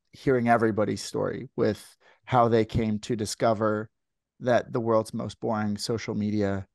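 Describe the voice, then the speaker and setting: A man hosting a podcast, asking guests questions in a relaxed, halting way. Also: medium pitch, no echo, clean studio sound. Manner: relaxed, halting with repeated words. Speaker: podcast host. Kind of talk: casual interview chat.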